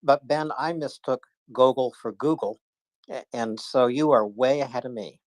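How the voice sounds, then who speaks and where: A male host of a live call-in audio room speaks in a halting, casual way, full of fillers and self-corrections. His voice sits at an ordinary pitch and is heard dry and close, with no room around it. He keeps things rolling between speakers. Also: no room sound. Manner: halting, many fillers and restarts.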